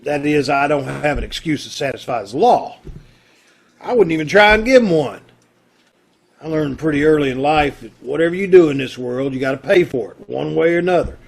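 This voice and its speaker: A man plays an unrepentant condemned murderer in an old-time radio play. The voice is a touch higher than most men's. He talks plainly and stubbornly, with a fatalistic, stoic pride. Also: animated, brisk, gravelly, husky, exaggerated voice. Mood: firm, unrepentant. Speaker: amateur radio-troupe actor.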